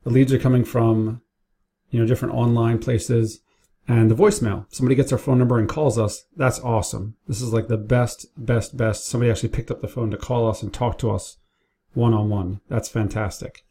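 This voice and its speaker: Very dark-voiced man giving casual tutorial. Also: mid-range voice, fairly even intonation, brisk, husky. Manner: informal, step-by-step explaining with repetition for emphasis. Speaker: business owner training staff. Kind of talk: casual screen-share tutorial narration. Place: indoors at a computer, keyboard and mouse clicks.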